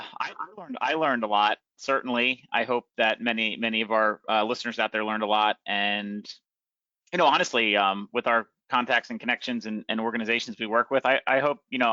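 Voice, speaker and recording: A man's voice: on the low side, bright, forceful tone, no echo. A man hosting a podcast, wrapping up an interview in a friendly way with many "you know" fillers. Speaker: podcast host. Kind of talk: wrap-up of an interview. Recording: dry, slightly dull lo-fi sound.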